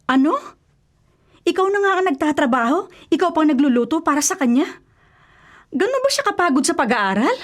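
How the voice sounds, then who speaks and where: A woman's voice: high voice, big pitch swings, a little fast, pauses often. A woman acting in a radio drama as a worried, nagging but loving middle-aged mother, scolding and fussy, with a Filipino accent. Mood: fussing.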